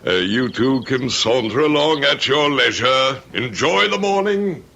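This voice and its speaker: An actor playing a genteel, lonely English lord in an old radio drama, with courtly, hesitant, formal speech and an English accent. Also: male voice, medium pitch, animated, a little slow, vocal fry, sharp tone, exaggerated voice. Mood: hospitable, wistful. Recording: noisy vintage radio recording with clicks.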